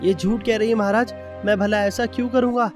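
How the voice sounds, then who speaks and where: A man puts on a loyal, anxious minister of a cartoon royal court. The voice is pitched up and agitated, pleading its case. Music is clearly heard behind it. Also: steady tone, fairly quick, close to an everyday voice. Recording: loud music bed behind voice.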